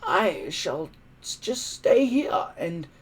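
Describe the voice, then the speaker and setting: A smooth storybook villain performed by a man speaks in a raised, swooping voice. He draws out his words grandly and slowly, oozing false regret like the cowardly schemer he is. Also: a little high, sing-song, pitched above the performer's own voice, heavily acted, low-quality recording. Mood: feigned regret. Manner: oily, drawn-out, grandiose speechmaking.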